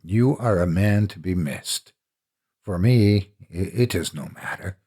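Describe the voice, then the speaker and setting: A man playing a cunning, vengeful gentleman in a gothic horror story, speaking with deliberate, flattering courtesy. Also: low voice, slightly rough, full character voice. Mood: feigned concern. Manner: flattering, deliberate, falsely reluctant.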